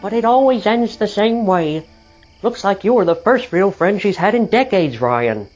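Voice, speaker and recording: A woman's voice: mid-range voice, expressive, normal speed, a little mellow, dull audio, faint music behind, lightly acted. A woman playing a kindly, concerned long-serving rescue crane in an audio drama. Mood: somber.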